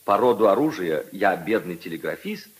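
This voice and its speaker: An actor playing a witty aristocratic husband who entertains guests with theatrical, mock-solemn teasing storytelling in 1950s radio drama style. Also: male voice, low voice, sing-song, moderate pace. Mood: theatrical, mock-romantic.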